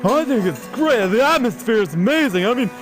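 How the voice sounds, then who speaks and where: A man playing an excitable, cheeky young sidekick in an audio drama, casual and eager. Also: extremely high pitch, fairly quick, music in the background, rough recording. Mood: enthusiastic. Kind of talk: amateur audio drama acting.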